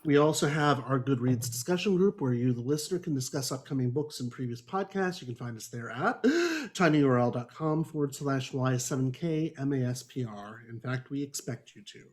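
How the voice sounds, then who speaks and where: A man's voice: medium pitch, expressive, moderate pace, few pauses. A man hosting a podcast, reading a prepared introduction to a book discussion with wry, dry asides. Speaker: podcast host. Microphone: online group call.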